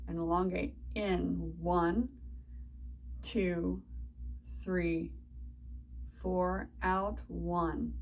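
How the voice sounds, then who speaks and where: Female meditation guide, very slow and soothing, long pauses, faint noise behind the voice. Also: lowish voice, low-quality recording. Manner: soft, soothing, unhurried instruction with long pauses. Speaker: meditation and yoga teacher. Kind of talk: guided body scan meditation.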